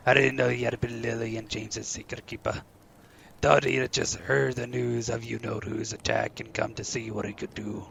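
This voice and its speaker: A man acts out a rough, big-hearted groundskeeper in an audio drama. His voice is thick with gravel and stays in a fairly narrow range, gruff yet full of feeling. Faint noise sits behind it. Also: mid-range voice, little pitch movement, moderate pace, a bit bright. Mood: grieving outrage. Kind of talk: audio play acting.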